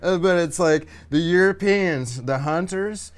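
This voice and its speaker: A man's voice: sits high, a little slow. A man playing a laid-back, spaced-out eco hippie who rambles in a comedy sketch. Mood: earnest amusement.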